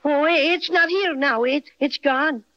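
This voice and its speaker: A tiny Irish teddy bear from an old radio serial, with a very high cartoonish voice. He is chatty, folksy and proud of his growl. The recording is dull and lo-fi. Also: a little slow. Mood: friendly, chatty. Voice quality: high-pitched cartoonish character voice. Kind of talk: 1930s radio acting.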